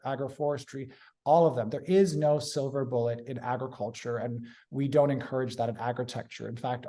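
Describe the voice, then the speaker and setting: A man's voice: mid-range voice, mellow. A man from Hong Kong, a guest in an interview, giving earnest, articulate explanations. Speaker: guest, urban agriculture consultant. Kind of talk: interview answers.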